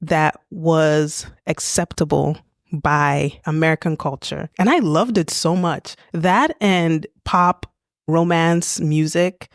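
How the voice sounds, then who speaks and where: Low, smooth-voiced woman co-host. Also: steady tone, slow, no echo, studio quality. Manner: soft-spoken, reflective, lingers on words. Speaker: podcast co-host. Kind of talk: reflective conversation.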